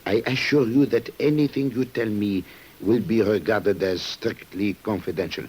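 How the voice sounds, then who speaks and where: An actor playing a shrewd, suspicious French police commissioner in an old radio drama, formal, courteous and probing, with an air of authority. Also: male voice, lowish voice, moderate pace, darkish tone, slightly rough, poor audio. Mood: probing, firm. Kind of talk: 1950s radio drama acting. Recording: hissy old radio recording.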